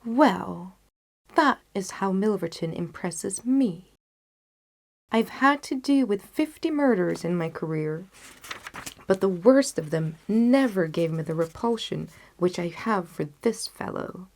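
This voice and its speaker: A woman's voice: medium pitch, animated, slow, a little mellow. A woman playing an intense, disgusted male detective in an audiobook, speaking in an emphatic, declamatory way. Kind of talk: audiobook character dialogue.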